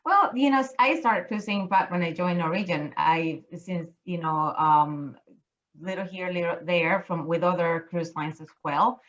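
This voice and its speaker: Excited middle-aged woman on a video call. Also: on the low side, a little slow, lo-fi sound. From Chile. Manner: enthusiastic, many restarts and fillers. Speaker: cruise line business development manager. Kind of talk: casual interview chat over video call.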